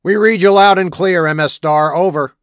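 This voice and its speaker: A man's voice: sits high, moderate pace, slight background noise, lightly acted. A man playing a big, menacing, impatient thug of a kidnapper in an audio drama, barking aggressively. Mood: gruff, businesslike.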